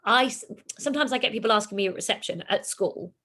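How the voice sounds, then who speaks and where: A woman, a singing teacher, talking in a relaxed interview and acting out her pupils' lines. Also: medium pitch, quick pace. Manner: animated, acts out pupils in quoted voices. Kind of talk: casual interview chat.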